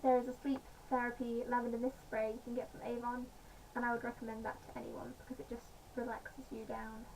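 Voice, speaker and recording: Slightly croaky woman vlogging. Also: a little high, little pitch movement, a little mellow. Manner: chatty, with frequent pauses and fillers. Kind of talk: casual personal vlog. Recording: distant mic, faint background noise.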